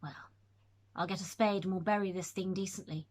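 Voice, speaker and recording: A woman voicing a gruff older country squire who speaks bluntly, with impatience and dry sarcasm. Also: mid-range voice, steady tone, a little fast, a little mellow, close to an everyday voice. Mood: grim, sardonic. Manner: brusque, sardonic.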